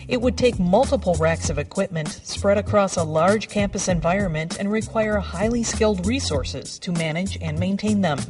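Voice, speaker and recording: A woman's voice: lowish voice, distant mic. A woman reading a scripted corporate promotional narration as a voice-over. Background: background music.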